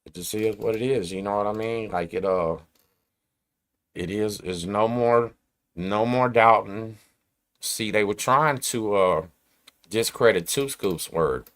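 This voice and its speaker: Streetwise man ranting, low voice. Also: unhurried, a bit bright. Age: 40s. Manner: streetwise slang, emphatic and repetitive. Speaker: host. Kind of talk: casual rant to camera.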